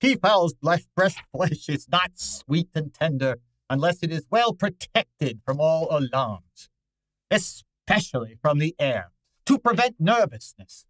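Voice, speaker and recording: An actor playing a pompous, cantankerous gourmet detective in a radio drama, with imperious, formal diction. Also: male voice, a little high, sing-song, measured pace, a bit bright, dry recording, exaggerated voice, rough recording. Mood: fussy, imperious. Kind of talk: old-time radio drama acting.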